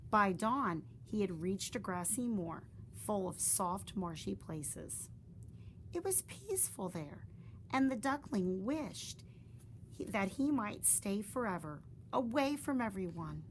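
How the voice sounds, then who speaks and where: Lady reading a kids story out loud, voice goes way up and down, faint hiss behind. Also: mid-range voice. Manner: expressive storybook reading with slow, drawn-out phrases. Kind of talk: children's story read aloud.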